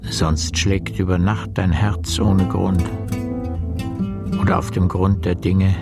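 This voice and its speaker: A man delivering poetic scripted narration for a radio play as a deliberate recitation. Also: low-pitched, little pitch movement, vocal fry, full character voice. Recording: loud music behind the voice. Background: plucked guitar music.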